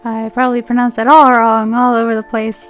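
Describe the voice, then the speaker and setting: A woman's voice: fairly high pitch, little pitch movement, fairly quick, soft background music. A woman reading a visual novel aloud as a let's play host, breaking off into chatty asides and playful self-corrections. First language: English.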